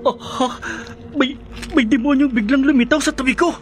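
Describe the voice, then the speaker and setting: An actor playing a vengeful man who hunts demons, melodramatic, with a high, raspy voice in a horror radio drama. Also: normal speed, slight background noise. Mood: terrified, agonized. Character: vengeful taunting human male, hunting a demon with cross.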